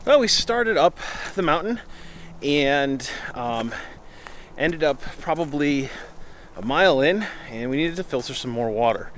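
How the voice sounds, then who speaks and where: A man's voice: fairly high pitch, lively intonation, measured pace, a bit bright. Man telling a casual story over background noise. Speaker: outdoor vlogger telling a story. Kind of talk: casual, unscripted storytelling to camera. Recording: clear ambient background noise.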